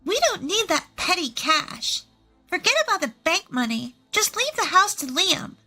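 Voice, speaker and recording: A woman's voice: very high-pitched, big pitch swings, moderate pace, sharp tone, soft background music, pitched above the performer's own voice, full character voice. A woman voicing a smug, brash young daughter-in-law, greedy and scornful, in a narrated family story. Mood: demanding.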